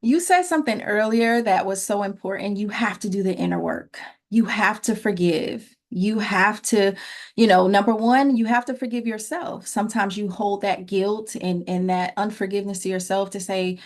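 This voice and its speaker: A woman's voice: mid-range voice, normal speed. A middle-aged woman speaking as an interview guest, earnest and reflective, in a personal motivational style with emphasis on key phrases. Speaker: interview guest, relationship coach.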